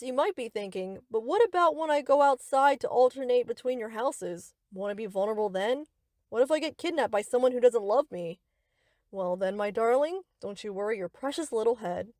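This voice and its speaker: A woman's voice: fairly high pitch, animated, brisk, darkish tone, dry recording, studio quality, natural voice. A woman playing a young yandere captor in a scripted roleplay, speaking to the listener with cutesy, rambling, possessive affection. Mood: sweetly possessive. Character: sweet, obsessive yandere best friend, young woman captor.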